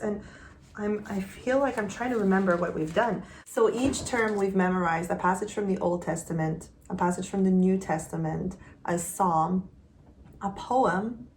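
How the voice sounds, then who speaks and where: Gravelly-voiced homeschooling mother, faint background noise. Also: fairly low pitch, low-quality recording. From Quebec, Canada. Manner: gentle, rambling, searching for words. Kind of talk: casual vlog update.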